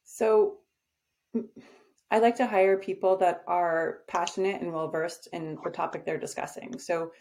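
A woman's voice: fairly low pitch, fairly even intonation, unhurried, a little mellow. Confident, direct woman in casual conversation. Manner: direct, confident, with frequent "um" fillers. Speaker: podcast guest, agency owner.

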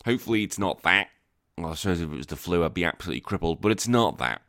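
A man in his thirties from England, hosting a podcast in a rambling, self-deprecating chat, sounding croaky from a cold. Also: deep voice, expressive, very fast, fairly bright tone, no echo, studio quality. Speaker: podcast host. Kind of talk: casual chat.

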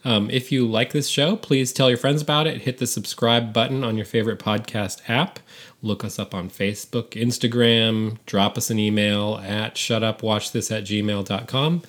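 A man's voice: on the low side, fairly even intonation, moderate pace, a bit bright. A man co-hosting a movie podcast in casual chat, joking and chuckling often. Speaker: podcast co-host.

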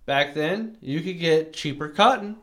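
A man co-hosting a casual podcast chat, explaining his points at length in a relaxed, explanatory way. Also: fairly high pitch, unhurried. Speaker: podcast co-host.

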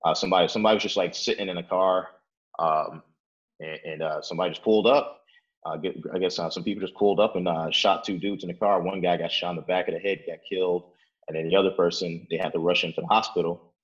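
Deep-voiced young man, rambling casual talk. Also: steady tone, fast talker, dull audio. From Chicago, Illinois. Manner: rambling, many fillers like "like" and "uh", restarts. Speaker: interviewee. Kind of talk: casual interview, storytelling. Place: his apartment.

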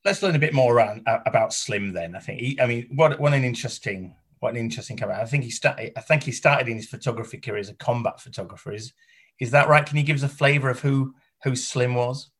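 A man's voice: medium pitch, fast talker, a bit bright, slightly rough. A man interviewing a guest, asking hesitant, rambling questions with restarts.

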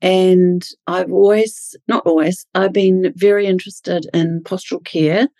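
Lower-voiced New Zealand woman. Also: steady tone, a little slow, dark tone, no room sound, studio quality. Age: middle-aged. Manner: thoughtful, self-correcting explanations. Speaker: pediatric physiotherapist guest. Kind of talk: interview answers.

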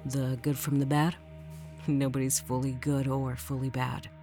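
A woman's voice: rumbling low, little pitch movement, measured pace. A woman plays a human rebel in a sci-fi audio drama. She sounds wry, confident and casual, with faint music underneath. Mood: wry, assured.

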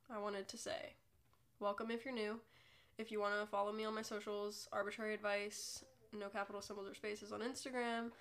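A woman's voice: mid-range voice, vocal fry, a bit bright. A young woman hosting her own podcast, congested from illness, chatting casually in a rambling, self-deprecating way. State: congested from a cold. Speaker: podcast host. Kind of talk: casual solo podcast chat.

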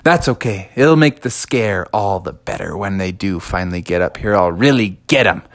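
A man's voice: low voice, expressive, normal speed, no room sound, pitched below the performer's own voice. A man voicing a playful, mischievous young bear cub plotting a prank, in a spoken storybook tale. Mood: scheming.

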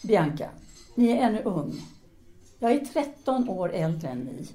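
A woman's voice: medium pitch, sing-song, dark tone, close to an everyday voice. A woman playing a composed, businesslike teacher and writer in an audio drama, speaking formally and decisively. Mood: composed, probing.

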